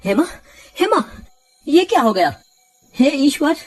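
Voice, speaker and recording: A man acting a high-voiced worried cartoon villager. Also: very animated, measured pace, faint music behind, full character voice. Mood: horrified. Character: worried, exasperated village husbands and neighbours.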